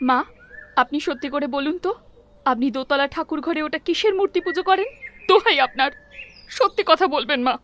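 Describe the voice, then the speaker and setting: Brief: a scared young mother in an animated horror story, very high voice, pleading and breathless. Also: fairly quick, long pauses, slight background noise. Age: young adult. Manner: pleading, breathless cries for help. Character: terrified young mother guarding her baby.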